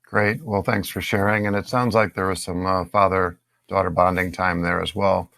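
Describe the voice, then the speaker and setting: A man's voice: sits low, level tone, normal speed, creaky, bright, forceful tone, no room sound. A man hosting a podcast, reading a guest introduction and asking short interview questions. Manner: reads introductions, short prompts. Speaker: podcast host.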